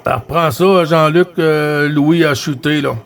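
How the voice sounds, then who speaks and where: A man with a Quebec French accent chatting casually as a CB radio operator, halting and often repeating his phrases. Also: on the high side, fairly even intonation. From Quebec, Canada. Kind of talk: casual radio chat with greetings.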